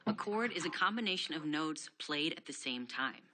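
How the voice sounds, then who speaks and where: A woman giving a scripted guitar lesson, explaining steps clearly and steadily. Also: low voice, expressive, moderate pace, sharp tone, slight background noise. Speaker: guitar instructor.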